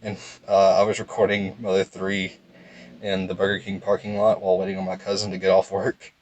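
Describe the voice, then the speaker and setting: A young man with a calm, low voice, giving casual, rambling game commentary in a laid-back monotone. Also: fairly quick, highs cut off. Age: young adult. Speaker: gaming video host.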